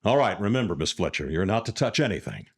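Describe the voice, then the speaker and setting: A man's voice: fairly low pitch, big pitch swings, fast talker, husky, full character voice. A man playing a bossy, no-nonsense homicide inspector in a live audio drama, stern and plain-spoken. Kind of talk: live radio-style audio drama acting.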